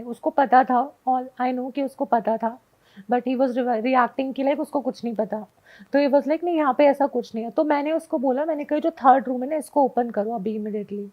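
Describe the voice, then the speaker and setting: A woman's voice: a little high, highs cut off. A woman guest telling a personal story in casual conversation, switching often into English phrases. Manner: mixes Hindi with frequent English phrases.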